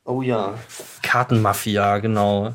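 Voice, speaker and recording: A man's voice: lowish voice, flat delivery, unhurried, fairly bright tone. A man in a casual conversation telling his own story, sounding nervous and self-conscious. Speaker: guest telling a story from school days.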